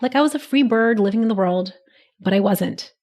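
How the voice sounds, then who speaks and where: A woman in her thirties hosting a solo podcast, her voice a little raspy from a cold, speaking in a gentle, encouraging coaching manner. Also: a little high, a little fast. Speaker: podcast host.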